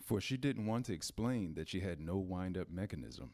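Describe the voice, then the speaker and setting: A man reading scripted storybook narration in a measured, storytelling manner. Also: deep voice, lively intonation, a little mellow.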